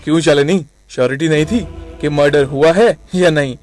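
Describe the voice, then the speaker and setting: A man playing a stern, composed lead investigator in a radio crime drama, measured and authoritative. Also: fairly high pitch, a little mellow, faint music behind, close to an everyday voice. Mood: probing, stern.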